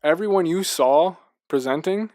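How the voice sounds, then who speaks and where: A man co-hosting a casual video game discussion, talking in an animated, rambling way and repeating himself for emphasis. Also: fairly high pitch, normal speed. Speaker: podcast co-host.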